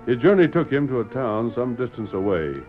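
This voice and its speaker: A man's voice: medium pitch, expressive, brisk. A man narrating a vintage radio western as a scripted, dramatic storyteller. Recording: dull vintage radio recording. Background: music.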